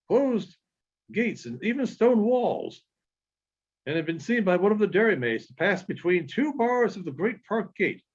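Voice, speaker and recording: A man with a husky, slightly high voice reads literary prose aloud in a dull, muffled recording. His delivery is measured and a little halting, phrase by phrase, with a lively rise and fall. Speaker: audiobook reader. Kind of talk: literary narration read aloud.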